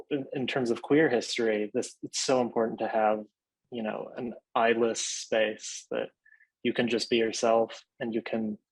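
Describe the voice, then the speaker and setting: A man speaking as an author on a panel in a reflective, somewhat halting way. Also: mid-range voice, no echo, low-quality recording. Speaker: author on a book festival panel.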